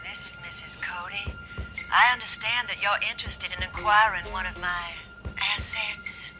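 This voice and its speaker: A sultry, flirtatious widow, played by a woman, soft and purring at a middle pitch. Score music hums underneath this TV comedy scene. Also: fairly even intonation, moderate pace, natural voice.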